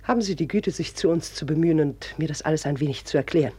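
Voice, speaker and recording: An actress in a radio drama playing a commanding, indignant middle-aged aristocratic mother with a slightly husky voice. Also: low voice, fast, dark tone. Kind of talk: German radio drama acting.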